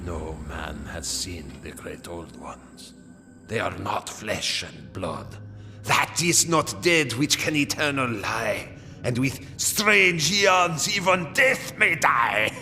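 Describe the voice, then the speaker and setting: An actor playing a sinister, fanatical cultist intoning ominous lore in a radio drama. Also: male voice, mid-range voice, expressive, pushed and bright, faint music behind, heavily acted. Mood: ominous, reverent. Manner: slow, ominous incantation. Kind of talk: old-time radio drama acting. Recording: cavernous reverberant radio drama.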